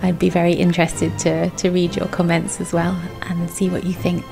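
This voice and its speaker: Creaky low-voiced woman vlogging. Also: completely flat delivery, normal speed. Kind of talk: chatty vlog voice-over. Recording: background music. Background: music.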